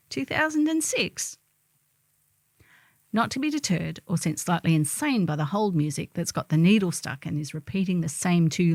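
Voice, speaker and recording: A woman's voice: mid-range voice, no echo. A middle-aged woman reading a humorous first-person column in a wry, theatrical storytelling manner.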